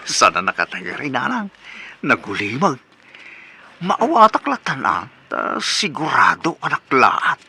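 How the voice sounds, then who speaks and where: A man acting a lovelorn, hapless young suitor in an Ilocano radio comedy drama, with an Ilocano accent and a plaintive, expressive delivery. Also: mid-range voice, a little slow, creaky, a little hiss or hum, heavily acted. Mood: hopeful, excited. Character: lovelorn grown son, hapless and eager suitor.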